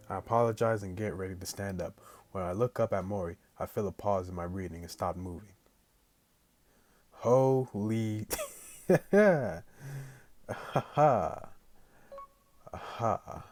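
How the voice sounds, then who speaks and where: A man hosting a gaming video, reading a story aloud casually with slangy, animated asides. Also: deep voice. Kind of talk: casual let's play, reading story aloud with excited reactions.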